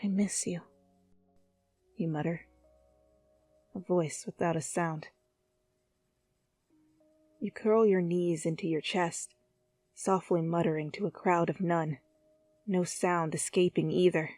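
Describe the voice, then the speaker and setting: A woman reading fan fiction as soft, intimate, hushed ASMR narration. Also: lowish voice, steady tone, no echo, faint music behind. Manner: soft, slow-building, intimate. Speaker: fan fiction reader and channel host.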